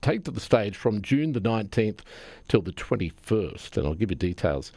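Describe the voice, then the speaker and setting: A middle-aged Australian man with a low voice hosts a radio interview. He talks conversationally, feeling his way through phrases in a halting manner. The sound is close and dry, as in a studio. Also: sing-song, vocal fry, darkish tone, breathy edge, dry recording. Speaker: radio host interviewing guests.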